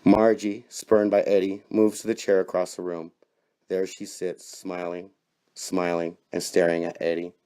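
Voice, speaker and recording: A man with a very low voice narrates a scripted horror story. His delivery is theatrical and wry, like a knowing host telling a macabre tale. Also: flat delivery, unhurried, warm tone, clear, resonant tone, low-quality recording.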